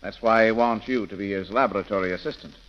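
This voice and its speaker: An actor playing a smooth-talking, businesslike shop boss, polite and matter-of-fact, in 1930s radio romance style. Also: male voice, lowish voice, fast talker, lightly acted. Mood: businesslike, persuasive. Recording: muffled, crackling 1930s radio recording.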